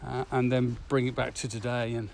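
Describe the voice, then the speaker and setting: A man's voice: mid-range voice, little pitch movement, brisk, gravelly. A man co-hosting a podcast in casual, reflective discussion, recorded outdoors while walking. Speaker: podcast co-host, corporate trainer. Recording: outdoor recording with faint traffic noise.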